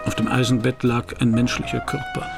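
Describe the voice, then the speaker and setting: An actor playing a hard-boiled, wary private detective in a German radio crime drama, terse and deadpan in narration and dialogue. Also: male voice, medium pitch, a little fast, vocal fry, music in the background, natural voice. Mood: grim, tense.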